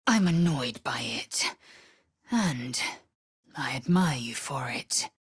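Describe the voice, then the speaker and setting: An actress playing a fierce, proud alien warrior woman in a video game, with a raspy voice and curt, imperious, formal diction. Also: a bass voice, expressive, takes it slowly, no echo, clean studio sound, full character voice. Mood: cold, grim.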